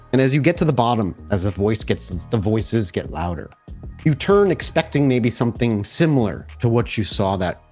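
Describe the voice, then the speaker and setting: A man narrating a tabletop horror game as storyteller, with descriptive, deliberate phrasing. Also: fairly low pitch, darkish tone.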